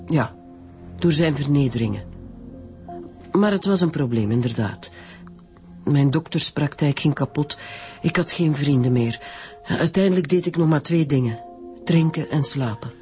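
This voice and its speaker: An actress with a Flemish accent playing a bitter widow making a confession in a radio drama. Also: female voice, low-pitched, measured pace, long pauses, mellow, soft background music. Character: bitter, confessing middle-aged widow of an undertaker.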